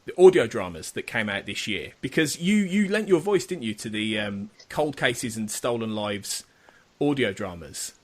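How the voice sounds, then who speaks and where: A man hosts a podcast interview with an animated, swooping delivery. He is eager and restarts his sentences often, and he laughs easily with his guest. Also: mid-range voice, normal speed. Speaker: podcast host.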